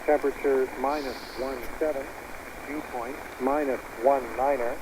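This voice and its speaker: A man's voice: medium pitch, moderate pace. Clipped male shortwave weather bulletin in static. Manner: clipped, monotone, formal. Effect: shortwave radio. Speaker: military aviation weather broadcaster. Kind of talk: scripted weather bulletin in radio phraseology. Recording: noisy shortwave radio through a speaker.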